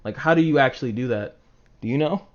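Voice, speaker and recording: A man's voice: mid-range voice, fast talker. A man rambling casually to livestream viewers in an informal, sweary way, acting out reactions as he goes. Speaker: livestream host talking to chat viewers. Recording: dull, lo-fi microphone sound.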